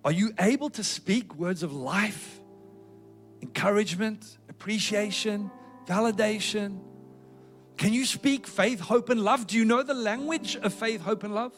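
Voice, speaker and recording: A man's voice: sits high, measured pace, a bit bright, faint music behind. A South African man preaching a sermon with a South African accent, in an earnest, emphatic exhorting manner. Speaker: pastor.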